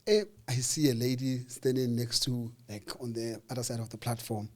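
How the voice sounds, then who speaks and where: A South African man, mid-pitched, recounts his journey in an unhurried, chatty way. He slips into quick little voices for the people he meets and leans on "um" as he gathers his thoughts. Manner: animated, acts out dialogue with voices, hesitant fillers. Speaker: interview guest. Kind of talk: casual storytelling interview.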